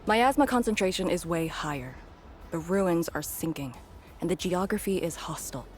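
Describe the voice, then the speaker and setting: A woman voicing a grave, resolute veteran leader in a video game, speaking in a measured, solemn way. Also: fairly low pitch, lively intonation, a little hiss or hum, natural voice. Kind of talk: scripted video game dialogue.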